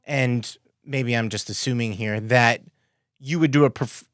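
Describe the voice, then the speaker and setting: A man with a medium-pitched voice hosts a casual podcast interview. He is a little tentative and tends to reword himself midway, recorded close and dry. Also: a little slow, no echo.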